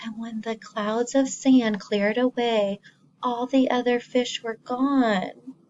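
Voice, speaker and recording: A woman teacher reading a story to young children, expressively, pausing to explain simply and ask the listeners questions. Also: on the high side, fairly even intonation, a little mellow, muffled recording, a little hiss or hum.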